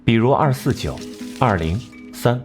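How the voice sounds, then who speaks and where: An actor playing a cool, sharp-witted detective in an animated crime drama, composed and articulate as he explains his reasoning. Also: medium pitch, a little slow, music in the background. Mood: analytical, calm.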